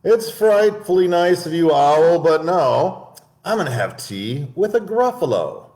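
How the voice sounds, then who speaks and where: A sly little brown mouse from a picture book, quick-witted and bold, as a man reading aloud puts him on. The voice sits high and springs up and down. It rings out in an echoey room. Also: big pitch swings. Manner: bright storybook voice, raised pitch.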